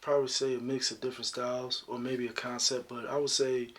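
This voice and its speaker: A man with a deep talking voice, answering interview questions about his singing in a relaxed, reflective way. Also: a little fast. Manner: relaxed, reflective, many "you know" fillers. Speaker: singer guest.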